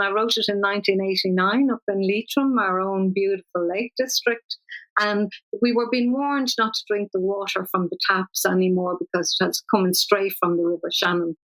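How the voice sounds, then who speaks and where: An older woman poet with an Irish accent, talking thoughtfully with many restarts and reading her verse slowly. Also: mid-range voice, fairly bright tone, rough recording. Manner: thoughtful, searching, frequent restarts; slow measured poetry reading. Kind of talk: conversational interview with poetry reading.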